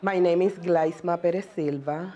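A woman in her forties with a Puerto Rican accent, a native Spanish speaker and teacher, talking earnestly about herself in a measured way. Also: fairly low pitch, fairly even intonation, dark tone, highs cut off, a faint crowd. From Puerto Rico. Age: 40s. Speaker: bilingual special education teacher. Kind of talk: personal interview testimony.

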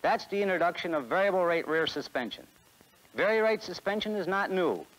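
A man's voice: high voice, expressive, fairly quick, fairly bright tone, poor audio. A man in his forties with an American accent giving a scripted sales presentation in a 1960s promotional film. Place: film studio beside a truck.

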